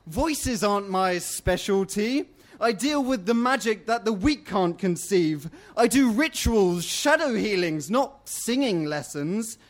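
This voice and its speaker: Man as a timid, earnest, high-voiced bat magician. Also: lively intonation, takes it slowly. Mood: apologetic, firm. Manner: apologetic, earnest rhymed speech. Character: timid, polite bat magician, quietly firm.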